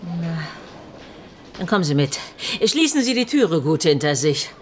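A woman's voice: sits low, sing-song, unhurried, slight background noise. An actress playing a gossipy, wary old landlady in a radio play, chatty and mocking. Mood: chatty, sly.

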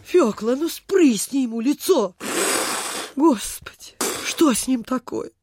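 A woman's voice: high-pitched, expressive, slow, noisy background. An actress playing a fussy, pitying, devout landowner lady in a Soviet radio play, given to exclaiming and sighing. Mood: panicked.

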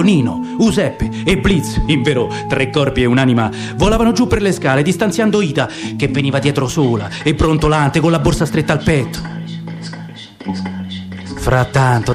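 A man reading a novel aloud for radio theatre, telling it expressively with lively shifts in pace. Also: on the high side. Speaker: narrator. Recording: music underneath the narration.

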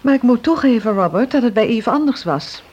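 A woman's voice: a little high, expressive, a little fast, darkish tone, lo-fi sound. A woman playing a spirited, inquisitive confidante in a Dutch radio drama, speaking bluntly and expressively. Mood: frank, probing.

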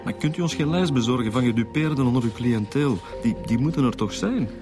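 A male Flemish detective from a radio crime drama, played by an actor. He is impatient and blunt, speaking colloquially at an ordinary male pitch. Music runs clearly behind him. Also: expressive, fairly quick, lightly acted. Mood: probing. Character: impatient, stubborn male police detective, blunt and theory-driven. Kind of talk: naturalistic radio drama dialogue.

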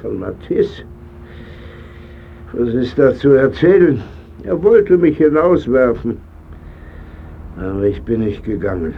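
A man's voice: mid-range voice, big pitch swings, takes it slowly, gravelly, long pauses, breathy edge, narrow, tinny audio, heavily acted. An actor playing a cantankerous, frail old male painter in a radio drama, grumbling, curt and imperious. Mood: reminiscing. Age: older.

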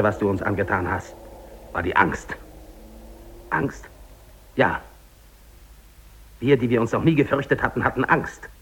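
A man's voice: medium pitch, fairly bright tone, slightly rough. A male actor playing a lone, dying English polar explorer in a 1950s German radio drama monologue, theatrical, defiant and despairing, moving between muttering and declaiming.

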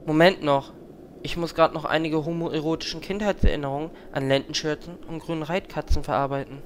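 Man voicing snide wordy adventurer, higher pitch, sarcastic, slight background noise, comedic fantasy audio drama. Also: fairly even intonation, fairly quick, lightly acted. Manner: sarcastic, disdainful.

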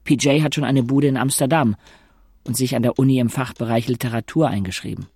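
Creaky-voiced wry young male narrator. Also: medium pitch, fairly even intonation, fairly quick, darkish tone, no echo. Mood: observant. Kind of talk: audio drama first-person narration.

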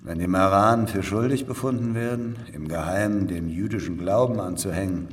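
An actor playing a weary, cynical old spy in a German radio drama, sighing and speaking in a deliberate, knowing way. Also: male voice, low-pitched, slight background noise, close to an everyday voice. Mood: weary, explanatory.

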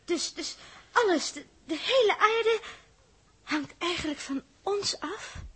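An actress playing an anxious, bewildered space crew member in a 1960s radio drama, hesitant and stammering, with a Netherlandic Dutch accent. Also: female voice, very high-pitched, lively intonation, takes it slowly, fairly bright tone, full character voice. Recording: long hall reverb, 1960s radio recording.

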